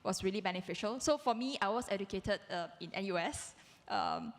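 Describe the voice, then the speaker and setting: A woman's voice: mid-range voice, huge pitch swings, brisk. A woman educator from Singapore speaking conversationally on a panel, a little hesitant and self-effacing. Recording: reverberant hall, live event.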